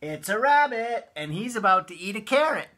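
A man's voice: high voice, big pitch swings, normal speed, pushed and bright, heavily acted. An American man voicing a stubborn cloud-watcher in a picture book, bickering playfully and contradicting with emphasis. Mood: insistent.

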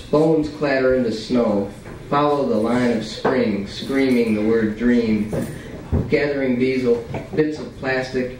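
A man's voice: medium pitch, darkish tone, slight background noise. Young man reading in a very echoey cafe. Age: young adult. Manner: colloquial, profane. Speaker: writer reading his work. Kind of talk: live reading of prose and poetry at a microphone. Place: small dim cafe, indoors. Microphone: stand microphone, picked up by far camera. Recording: echoey cafe, distant camcorder, crowd chatter. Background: audience chatter.

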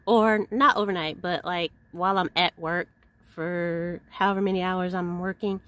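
A woman in her forties vlogging casually from inside her parked van, chatting in an unhurried way and thinking aloud with fillers. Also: mid-range voice. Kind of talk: casual vlog narration.

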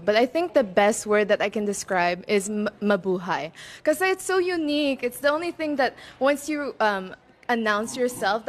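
Chatty young woman, higher-pitched voice. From Philippines and Macau. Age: twenties. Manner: chatty, earnest, mixing in Tagalog words. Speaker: beauty pageant contestant. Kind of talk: pageant interview answers. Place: open-sided veranda outdoors. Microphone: microphone on a stand.

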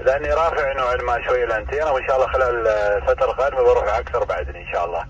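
A man's voice: medium pitch, racing, breathy edge. A man with a Gulf Arabic accent speaking over two-way radio, chatting casually and courteously as an amateur operator. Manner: courteous, welcoming, repeats phrases. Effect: two-way radio transmission. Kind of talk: casual ham radio exchange. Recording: muffled, distant radio speaker, faint noise.

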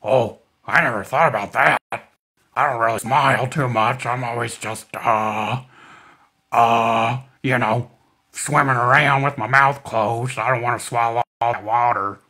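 A man's voice: mid-range voice, fairly even intonation, a little slow, sharp tone. A man voicing a friendly, folksy crocodile dad in a children's puppet show, talking in a simple, hesitant, amiable way. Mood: musing.

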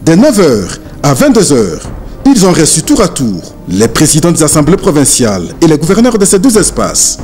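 Male news reporter, higher voice, animated delivery over light music. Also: moderate pace. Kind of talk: scripted news narration.

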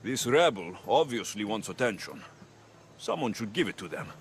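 Blunt, low-voiced Greek warrior. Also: male voice, sing-song, moderate pace, a little hiss or hum. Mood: defiant. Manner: blunt, terse. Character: brusque, combative ancient Greek mercenary warrior, male. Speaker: video game protagonist. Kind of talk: scripted game dialogue.

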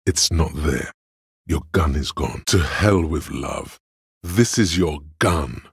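An actor playing a calm, analytical inner voice of logic in a video game, gravelly and husky, speaking in a dry, measured, knowing way. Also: male voice, rumbling low, no echo, heavily acted. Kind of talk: video game voice-over narration.

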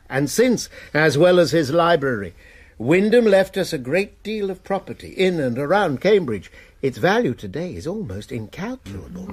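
An actor playing an urbane college master who explains matters calmly in a radio drama. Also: male voice, high-pitched, husky, heavily acted. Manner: measured, explanatory. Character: Master of a Cambridge college, urbane older man.

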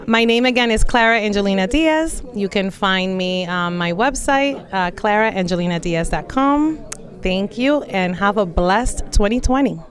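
A woman in her forties, a life and business coach, speaking earnestly with many fillers into a handheld mic at an indoor event. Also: mid-range voice. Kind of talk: event interview testimonial. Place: indoor event room against a plain wall.

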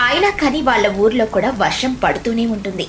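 A woman's voice: on the high side, expressive, quick pace, a little hiss or hum. A woman narrating a scripted children's moral story as a storyteller. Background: music.

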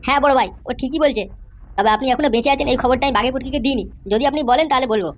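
A man's voice: very high voice, very fast. A man voicing an eager, deferential gangster's henchman who chatters and complains in a comedy cartoon.

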